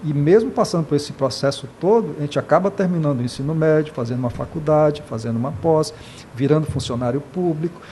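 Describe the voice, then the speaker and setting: Impassioned Brazilian man, halting and earnest. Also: on the high side, dark tone, slight background noise. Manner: impassioned, many hesitations and repeated "né". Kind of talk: earnest interview talk.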